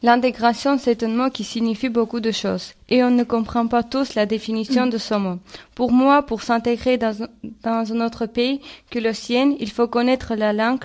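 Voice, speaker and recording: Higher-pitched young Armenian woman, non-native speaker. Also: fairly even intonation, moderate pace, a little mellow. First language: not French. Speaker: youth radio participant. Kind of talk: prepared personal testimony.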